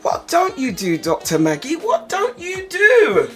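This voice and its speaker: A woman's voice: extremely high pitch, faint music behind. A woman hosting a radio show, playful and teasing as she interviews a guest. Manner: slow, lively and playful, teasing.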